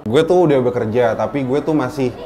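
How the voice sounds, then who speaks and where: A twenty-two-year-old man answering interview questions in a measured, earnest way. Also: medium pitch, echoey room, a crowd in the background.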